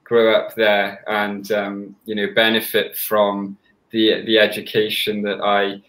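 Young welsh guy on a headset, flat delivery, lots of ums, roomy sound. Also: on the low side, slow pace. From Wales. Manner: soft-spoken, many fillers and restarts. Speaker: orchestra conductor, guest. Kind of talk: casual interview chat. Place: small room at home. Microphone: headset on a video call.

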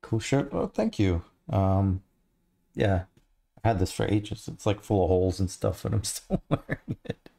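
A man in his thirties with an accent blending Australian, Irish and American, chatting in a relaxed, rambling way while he answers questions from his viewers. His voice sits fairly low and has a gravelly edge. The tone is mellow, and he talks into a headset mic in a home studio. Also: unhurried. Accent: mixed Australian, Irish and American. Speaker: livestreamer, 3D artist. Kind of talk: casual livestream chat.

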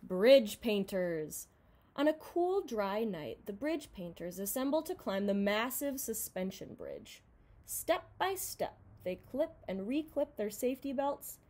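A young woman reads a picture book aloud for children. Her voice is fairly high and swings widely in pitch, giving the narration a very expressive, dramatized delivery. She is recorded indoors at home. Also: takes it slowly. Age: young adult. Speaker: storybook reader.

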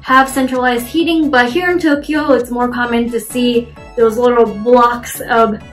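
Chatty high-voiced American woman vlogging. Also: fairly even intonation, measured pace, soft background music. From Florida, USA. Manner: chatty, self-deprecating, many "like" fillers. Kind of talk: casual talk to camera. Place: small bedroom apartment, indoors. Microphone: camera in front at desk distance.

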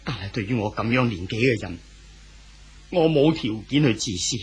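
An actor playing an anxious, clinging older husband in a 1970s radio drama, pleading and insistent, with a Hong Kong Cantonese accent. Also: male voice, mid-range voice, lively intonation, moderate pace, husky. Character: anxious older husband clinging desperately to his unfaithful wife.

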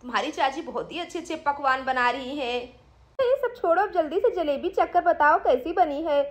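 A woman's voice: high-pitched, normal speed, lightly acted. A woman voicing a cheerful, doting mother who chats encouragingly in a children's doll story. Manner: encouraging, chatty.

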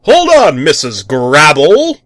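A man's voice: a little high, wildly swooping pitch, slow pace, a bit bright, dry recording, clean studio sound, pitched above the performer's own voice, exaggerated voice. A man voicing an enthusiastic, dim-witted superhero who makes grandiose proclamations in a comic story reading. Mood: rousing, heroic.

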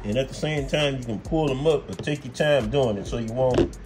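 An American man talks through a hands-on job in a casual, folksy way, thinking aloud as he goes. His voice sits at an ordinary male pitch, with music clearly playing behind him. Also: fairly quick. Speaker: host, car mechanic. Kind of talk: casual hands-on how-to chat to camera.

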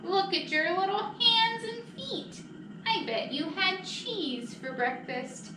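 A woman voicing a big, smug tiger in a picture-book read-aloud, loud, mocking and emphatic, in an echoey room. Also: extremely high pitch, expressive, takes it slowly, exaggerated voice. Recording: echoey room, distant mic, noisy.

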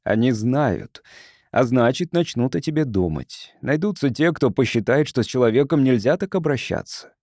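An earnest male scientist from Earth, played by a man reading an audiobook. He is polite and reasons patiently in a mellow voice. The sound is dry. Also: mid-range voice, animated, moderate pace, dry recording, lightly acted. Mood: reassuring, reasoning. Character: earnest, conciliatory male visiting sociologist from Earth.

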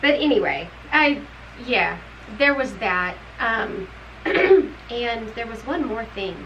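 A woman's voice: fairly high pitch, expressive, slow pace, a bit bright, lo-fi sound, slight background noise. A middle-aged woman chats loosely and at length about a novel, circling back on her thoughts and laughing along the way. She sits in a room at home, speaking naturally to her co-host on camera. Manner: chatty, rambling, laughs often. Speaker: book club co-host. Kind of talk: casual book review chat.

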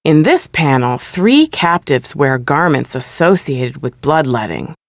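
A woman playing a composed museum exhibit narrator in a game, giving measured, formal educational narration. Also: low voice, sing-song, dry recording, heavily acted.

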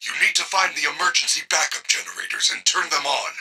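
A man voicing a gentle, earnest animatronic bear in a video game, speaking formally and carefully with few contractions. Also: fairly high pitch, fast talker, slightly rough, distant mic, heavily acted, poor audio. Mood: helpful, friendly.

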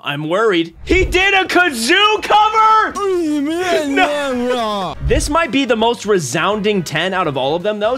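A young man in his twenties hosting a stream, reacting to clips with excited, laughing commentary from a small home room. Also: sits high. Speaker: streamer host. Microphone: boom mic on arm.

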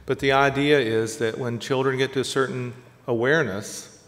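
A man's voice: medium pitch, fairly even intonation, normal speed, fairly bright tone. A man in his sixties giving a conversational keynote lecture from a lectern on a stage, listing examples in short phrases. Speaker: keynote speaker. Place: large dark auditorium stage at a lectern. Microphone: lectern microphone. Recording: reverberant auditorium sound.

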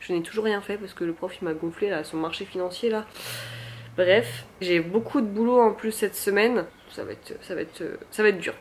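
Young woman vlogger, cold-stuffed nose, chatty and filler-heavy, arm's-length camera in a small flat. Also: mid-range voice, normal speed, slight background noise. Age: young adult. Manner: chatty, lots of fillers and repeated words, enthusiastic. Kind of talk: casual vlog chat to camera. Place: small bright apartment living room, indoors. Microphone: handheld camera at arm's length.

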